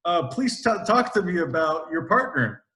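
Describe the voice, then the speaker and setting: A man's voice: on the high side, sing-song, normal speed, pushed and bright. A man interviewing a boxer, asking long, carefully set-up questions. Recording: echoey room.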